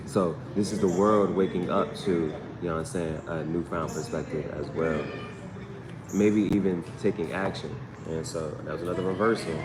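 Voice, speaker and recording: A man's voice: deep voice, fairly even intonation, moderate pace, crowd noise. A man giving a casual live tarot reading, conversational and slangy, often repeating his phrases as he thinks aloud. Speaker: tarot reader, channel host. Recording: echoey room with loud background noise.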